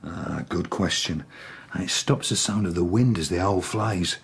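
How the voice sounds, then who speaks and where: An actor playing a friendly, slightly awkward village man who is kind to children, in a radio drama, with a hesitant, informal husky voice. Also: sits low, normal speed, exaggerated voice. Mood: kindly.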